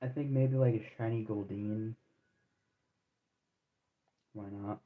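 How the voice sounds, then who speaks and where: A man's voice: lowish voice, fairly even intonation, slow pace. Laid-back young man, tinny audio. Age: 20s. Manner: rambling and laid-back, heavy on slang and restarts. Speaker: game streamer. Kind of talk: casual live-stream chat while playing.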